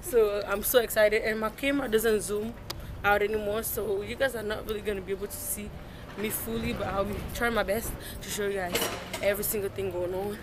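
A woman's voice: mid-range voice, steady tone, a little fast. A young woman chatting straight to a handheld phone camera as she walks through city streets for her travel vlog. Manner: chatty, talks straight to the camera while walking. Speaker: vlogger. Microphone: phone held at arm's length. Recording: noisy street sound. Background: traffic and street noise.

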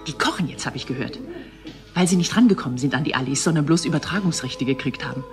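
Spöttische Frau mit tiefer Stimme. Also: female voice, deep voice, fast, faint music behind. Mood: wry, gossipy. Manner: wry, gossipy, chatty. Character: teasing, sceptical female TV company employee. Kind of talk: naturalistic German radio play dialogue.

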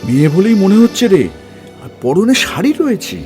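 Actor as cautious senior man, high animated voice. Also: moderate pace, faint music behind. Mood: wary. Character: Mukherjee, senior man, cautious, reasoning leader on a jungle trek. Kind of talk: Bengali audio story dramatic acting.

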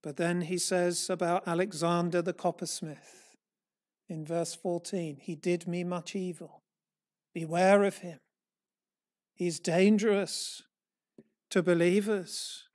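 A middle-aged man, a church elder, preaching a sermon from a lectern in a small church hall, earnest and measured, rising and falling in force. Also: high-pitched, flat delivery, a little mellow. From England. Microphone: far camera, standing at a lectern.

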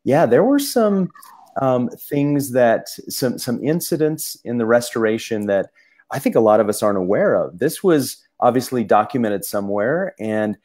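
A man co-hosting a casual discussion, talking in halting bursts with many restarts and fillers. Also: medium pitch, expressive, darkish tone. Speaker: podcast co-host.

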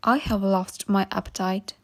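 A woman reading short English practice phrases slowly and saying each one twice for learners. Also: mid-range voice, steady tone. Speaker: English teacher.

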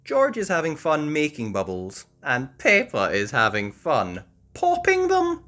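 Slow, very animated woman reading a storybook. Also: low voice. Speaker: storybook reader, channel host. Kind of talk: expressive children's read-aloud narration.

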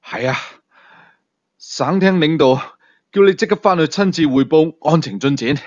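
A man's voice: on the high side, moderate pace, no room sound, highs cut off, lightly acted. A man with a Hong Kong Cantonese accent voicing a flustered, breathless junior police officer who stammers urgently in an audio thriller. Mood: panicked, urgent.

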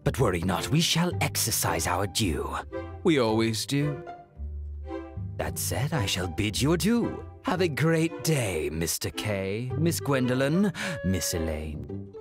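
An actor playing a suave, menacing gentleman debt collector in a video game, formal, condescending and deliberately polite. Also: fairly low pitch, very animated, music in the background. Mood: smug politeness. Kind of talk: video game character acting. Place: studio recording, orchestral strings behind.

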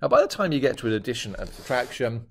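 A man's voice: medium pitch, sing-song, fast, creaky, a little hiss or hum. A man in his fifties with a British accent that is drifting toward Australian, teaching a maths lesson over a screen recording with jokey, chatty asides. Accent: British, shifting toward Australian. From Britain.

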